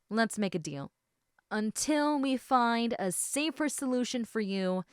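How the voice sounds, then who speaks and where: A woman playing a gentle, protective, indecisive snake-woman in an intimate audio roleplay, speaking in a soft, hesitant, caring manner. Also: medium pitch, no echo, clean studio sound. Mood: tender, worried.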